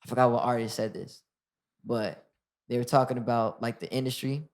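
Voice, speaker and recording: Casual young male rapper, mid-range voice, relaxed and rambling. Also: medium pitch, fairly quick. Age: 20s. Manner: relaxed, lots of "like" and restarts. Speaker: rapper guest. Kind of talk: casual interview chat.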